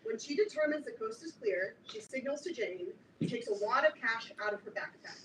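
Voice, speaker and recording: A woman's voice: on the high side, expressive, fairly quick. A woman reading scripted stage directions at a staged play reading. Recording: roomy, distant mic, clear noise.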